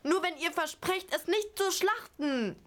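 High, dramatic bossy child played by a female performer. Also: measured pace, a bit bright. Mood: mock innocent. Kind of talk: amateur school radio play acting.

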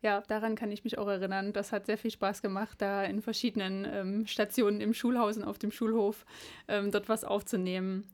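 A woman's voice: mid-range voice, flat delivery, fairly quick, darkish tone. A woman presenting a radio show and leading an interview in a studio. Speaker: radio host.

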